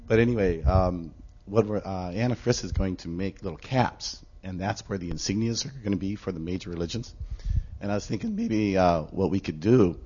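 A hoarse man getting over an illness, proposing an idea at a congregation meeting in a halting way, with many fillers. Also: deep voice, expressive, dark tone. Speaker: congregation member. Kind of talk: casual talk at a meeting. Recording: dull lo-fi room recording.

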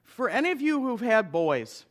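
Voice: mid-range voice, very animated, normal speed. A middle-aged minister giving a conversational lecture to an audience, with wry asides.

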